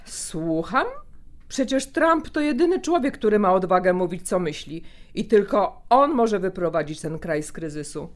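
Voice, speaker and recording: An actress playing an opinionated, disapproving sister in a naturalistic audio drama, blunt, questioning and emphatic. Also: female voice, lowish voice, lively intonation, moderate pace, natural voice. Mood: defiant. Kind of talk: naturalistic audio drama acting, phone conversation.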